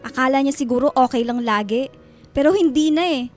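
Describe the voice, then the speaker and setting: A woman's voice: sits high, a little fast, darkish tone, faint music behind, close to an everyday voice. A woman playing a hurt, resentful schoolgirl in a radio drama, tearful and protesting. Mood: hurt, angry. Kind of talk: Filipino radio drama acting.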